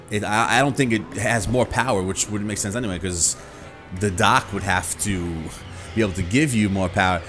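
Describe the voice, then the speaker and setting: A man's voice: deep voice, a bit bright. A man hosting a video, explaining things casually and conversationally, thinking aloud and often checking in with the listener. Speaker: video host. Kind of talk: casual explanatory talk to viewers about gaming gear.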